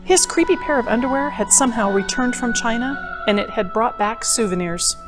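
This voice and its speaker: A woman's voice: fairly high pitch, animated, fairly quick. A woman reading a children's picture book aloud expressively, drawing out spooky words for drama. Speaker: storyteller. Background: background music.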